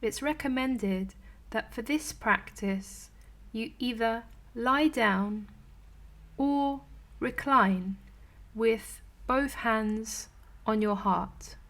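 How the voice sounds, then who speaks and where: Lady doing guided meditation, kinda high soft soothing delivery, super slow w/ long gaps. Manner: soothing, gentle, unhurried with long pauses. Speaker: meditation teacher.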